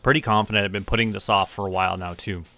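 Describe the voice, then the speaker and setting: A man from Canada streaming a farming game, talking to himself in a relaxed, muttering way as he plays. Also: fairly low pitch, racing. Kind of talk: casual gameplay commentary. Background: game music.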